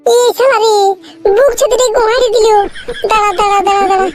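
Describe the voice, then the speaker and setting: A man voicing a brash, bossy young village man in a comic cartoon, with a Purulia Bengali accent and an artificially pitched-up, chipmunk-like voice, blustering and repeating his words. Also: fairly even intonation, brisk, faint music behind. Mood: angry, threatening.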